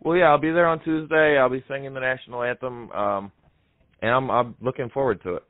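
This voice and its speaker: A man's voice: mid-range voice, fairly even intonation, fairly quick, no echo. A young man improvising a prank phone call in an earnest, rambling manner. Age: young adult. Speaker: prank caller on a comedy show.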